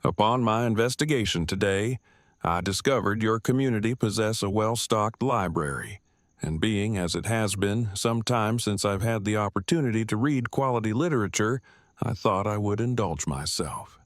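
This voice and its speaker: A man's voice: on the low side, moderate pace, no echo, studio quality, natural voice. A man playing an awkward, bookish nerd with a precise, wordy, formal delivery in an audio drama. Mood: formal, reserved. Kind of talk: fan audio drama acting.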